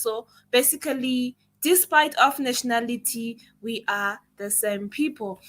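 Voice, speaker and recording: A woman's voice: on the high side, bright, forceful tone. A young Zimbabwean woman with a Zimbabwean accent, a native Shona speaker, speaking earnestly with conviction as a guest on a livestream interview. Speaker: guest content creator.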